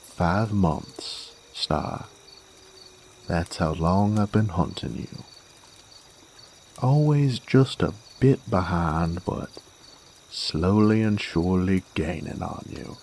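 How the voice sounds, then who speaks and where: A man playing a sardonic, menacing werewolf bounty hunter in a Western audio drama, with a folksy American Western drawl and a gravelly, unhurried delivery. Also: low-pitched, lively intonation, a little mellow, a little hiss or hum, heavily acted. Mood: calm, menacing. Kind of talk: Western audio roleplay, intimate dramatic monologue. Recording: faint outdoor night ambience with crickets. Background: crickets and insects.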